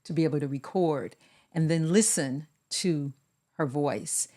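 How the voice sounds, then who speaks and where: A woman co-hosting a podcast, speaking in a measured, deliberate way as she gives advice in casual conversation. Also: deep voice, dark tone. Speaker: podcast co-host.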